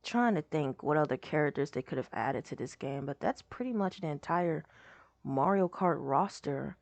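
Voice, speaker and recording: A young woman with a hoarse voice, rambling casually as she reacts to a game showcase video. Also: low voice, animated, moderate pace, no room sound. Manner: rambling, lots of "like" and trailing off.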